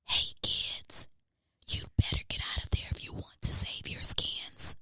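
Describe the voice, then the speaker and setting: A woman acts out a watchful storybook tomcat. He speaks in an urgent, secretive whisper, hushed and protective, very close and dry. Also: a little slow, no room sound. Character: alert male farm cat, urgent and protective. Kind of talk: children's storybook character voice.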